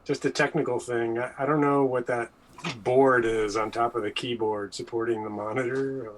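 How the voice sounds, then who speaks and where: A man's voice: mid-range voice, steady tone, moderate pace, slight background noise, low-quality recording. A man chatting casually as a panel guest. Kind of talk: casual chat.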